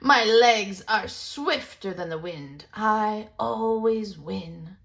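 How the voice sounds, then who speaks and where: A woman voicing a proud, boastful male deer in a storybook, speaking in a slow, self-satisfied drawl. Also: mid-range voice, creaky, a bit bright.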